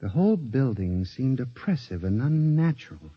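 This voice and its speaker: A man's voice: mid-range voice, very animated, normal speed, husky, no echo. An American actor playing an anxious, earnest statistician who narrates his own story in a 1950s radio drama, with intense, dramatic delivery. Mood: haunted, uneasy. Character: anxious, earnest statistician clerk, unhappy and haunted. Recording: dull 1950s radio recording, music behind.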